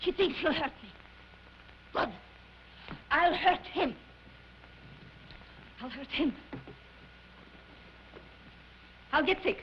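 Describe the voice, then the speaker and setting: An actress playing a temperamental, vain opera diva with histrionic, repetitive delivery, in the style of old film comedy. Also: female voice, high voice, expressive, normal speed. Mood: spiteful. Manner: theatrical, histrionic, repeating herself. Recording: dull, noisy vintage film soundtrack.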